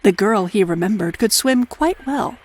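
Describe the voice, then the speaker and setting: A woman's voice: mid-range voice, lively intonation, normal speed, darkish tone. A woman giving a scripted narration of a children's fairy tale.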